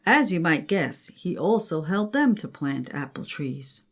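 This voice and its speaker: A woman's voice: low-pitched, sing-song, a little slow. An older woman, a grandmother, narrating a children's story.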